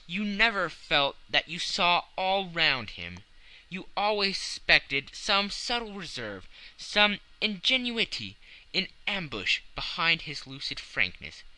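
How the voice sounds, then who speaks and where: A male narrator reads classic fiction in a very bright, sharp-edged voice pitched a little high. His intonation rises and falls widely, yet his phrasing stays measured and literary, with deliberate pauses for drama. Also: rough recording. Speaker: audiobook narrator. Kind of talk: dramatic scripted audiobook narration of a classic novel.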